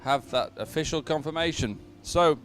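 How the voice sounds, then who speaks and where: A man presenting live sports commentary, pausing as he goes and adding upbeat, sentimental asides. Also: on the high side, a little hiss or hum. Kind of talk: live commentary on a dragon boat regatta.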